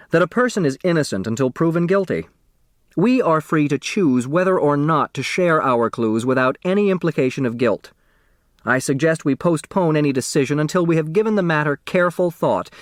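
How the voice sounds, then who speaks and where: A man's voice: on the high side, no room sound, clean studio sound, pitched above the performer's own voice. A man voicing a composed, authoritative judge in an audiobook, speaking firmly and formally. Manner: formal, measured wording, firm.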